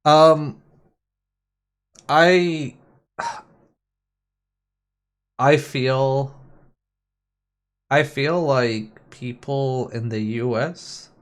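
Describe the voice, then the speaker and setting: A man's voice: mid-range voice, very slow, long pauses. A man streaming a game with casual, opinionated and rambling chat. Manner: opinionated, rambling, mimics others mockingly, swears. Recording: game music behind the voice. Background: music from a racing game.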